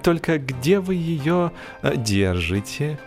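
A man voicing a polite but slow-witted gentleman in an audiobook, halting and mumbling with excessive courtesy. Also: fairly high pitch, very animated, soft background music, voice pushed up, exaggerated voice. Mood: bewildered.

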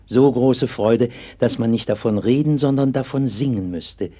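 An actor playing a gentle, devout sixteenth-century pastor in an old German radio play, speaking solemnly and patiently. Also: male voice, medium pitch, normal speed, slightly rough, lightly acted. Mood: fervent, exalted. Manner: solemn, patient, earnest preaching cadence. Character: gentle, devout 16th-century pastor comforting a sick child.

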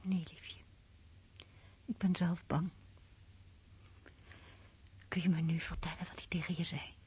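Woman playing a low, mellow, gentle mother. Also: flat delivery, a little slow, a little hiss or hum, lightly acted. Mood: tender, solemn. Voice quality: hushed, breathy. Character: gentle, pious, loving mother of a teenage girl. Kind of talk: radio play acting. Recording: dull old radio recording.

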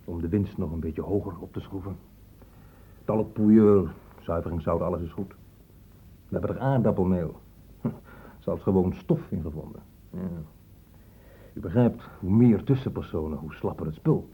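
Gruff police inspector, husky, phone-like sound. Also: male voice, low-pitched, normal speed, pauses often. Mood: sardonic. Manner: blunt, sardonic, colloquial. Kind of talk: radio drama acting. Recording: muffled phone-like old radio recording.

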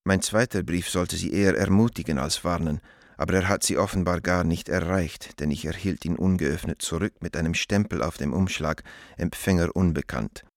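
An anguished middle-aged letter writer in a radio drama. His voice is very low and recorded close and dry. He speaks formally and gravely, pleading with an old friend in measured, urgent phrases. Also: no room sound. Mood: anxious, pleading.

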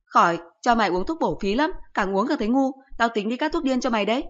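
A blunt, sarcastic mother scolding her son in a storybook reading, put on by a woman narrator. Her voice jumps higher and harder than the narration around it. It comes through a dull, muffled recording. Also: lively intonation, quick pace. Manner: sharp, sarcastic nagging.

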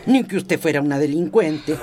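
A woman's voice: low-pitched, lively intonation, normal speed, dark tone. An actress playing a kindly, superstitious old grandmother in a radio drama, fussing and exclaiming in a folksy way. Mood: indignant concern. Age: older.

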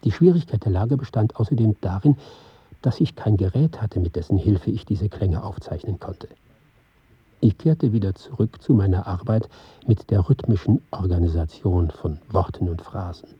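An actor playing an earnest, reflective film pioneer, giving a measured, formal radio drama monologue in a husky voice. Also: male voice, low-pitched, no echo, lo-fi sound. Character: earnest, reflective male film pioneer recounting his experiments. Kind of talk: scripted radio drama monologue, read as lecture.

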